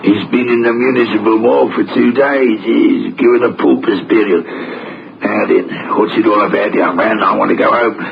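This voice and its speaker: An actor playing a gruff, suspicious old working-class cemetery caretaker in a vintage radio drama, blustering and colloquial. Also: male voice, lowish voice, fairly even intonation, moderate pace. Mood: questioning, matter-of-fact. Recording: dull, noisy old radio recording.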